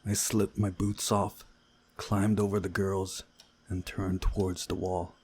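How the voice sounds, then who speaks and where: A man reading a visual novel aloud as narrator, in a measured storytelling way with a husky voice. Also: low voice, flat delivery, a little mellow, slight background noise. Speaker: gaming video narrator.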